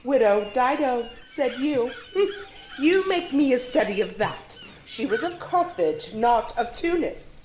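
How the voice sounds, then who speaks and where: Woman as gracious lady, high, measured, animated, Shakespearean audio play, faint noise. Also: reverberant, exaggerated voice. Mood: amused. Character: genteel noble lady, gracious, hopeful courtier. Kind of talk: Shakespearean audio drama acting. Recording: faint noise on home recording.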